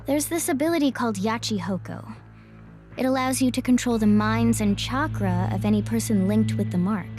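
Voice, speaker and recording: A woman's voice: fairly high pitch, normal speed, darkish tone, natural voice. A woman playing a composed, condescending game guide who is secretly a villain, in an anime game dub. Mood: gloating, calm. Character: game guide girl revealed as smug, scheming villain. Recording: dramatic background music.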